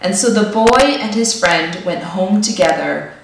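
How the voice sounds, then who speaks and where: A woman's voice: mid-range voice, expressive, a little slow, gravelly. Woman reading a storybook, very echoey. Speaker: storyteller reading a picture book aloud. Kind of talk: expressive children's story read-aloud. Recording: echoey room, distant microphone.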